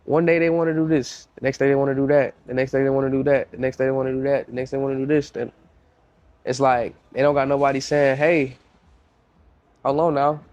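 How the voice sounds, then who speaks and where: A man's voice: mid-range voice, little pitch movement, quick pace, darkish tone, lo-fi sound. A young man with a congested voice, a music producer talking casually in a podcast interview, slangy and repeating himself as he tells stories.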